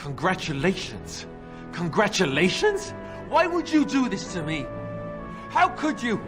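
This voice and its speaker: A reluctant young king in a fantasy game. His voice is high and swings widely with incredulous, anxious expression, over loud music. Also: wildly swooping pitch, a little slow, heavily acted. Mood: stunned, accusing. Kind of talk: dramatic game acting.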